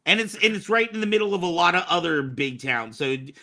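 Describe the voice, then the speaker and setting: A man's voice: high voice, expressive, fast, pushed and bright. An American man hosting a talk show in a casual, conversational style. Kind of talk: casual podcast chat.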